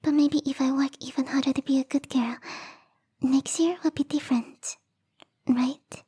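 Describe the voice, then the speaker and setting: A woman voicing a lonely, bubbly young female snow spirit, soft and whispery, in a sweet, pleading ASMR roleplay. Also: high-pitched, fairly even intonation, normal speed, natural voice. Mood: sad, resigned.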